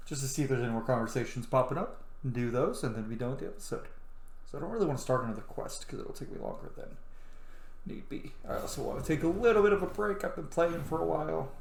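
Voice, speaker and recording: A gamer giving casual, offhand playthrough commentary, thinking aloud. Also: mid-range voice. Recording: roomy echo, clear background noise.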